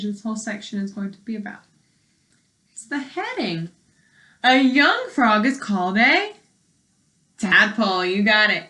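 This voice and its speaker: Bright-voiced woman teaching young children. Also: a little high. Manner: explanatory, child-directed, with simple wording and questions. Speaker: elementary school teacher. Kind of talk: read-aloud lesson for young children.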